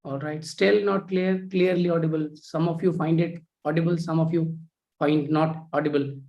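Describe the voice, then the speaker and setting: A man teaching an online history class on a video call, reading out students' chat messages with many pauses and fillers. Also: on the high side, fairly even intonation, creaky, a little mellow, lo-fi sound.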